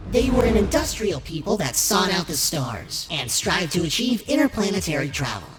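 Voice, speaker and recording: A woman's voice: on the low side, sing-song, moderate pace, poor audio. A woman voicing a polite robot host, delivering formal, polished sci-fi documentary narration. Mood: informative. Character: polite robot host guiding tours of alien worlds. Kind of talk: scripted documentary-style narration. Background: prominent music.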